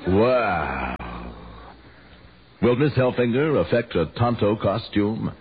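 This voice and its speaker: A man's voice: fairly low pitch, very animated, slow pace. A man announcing in a portentous, mock-dramatic style in an old radio comedy. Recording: tinny old radio recording.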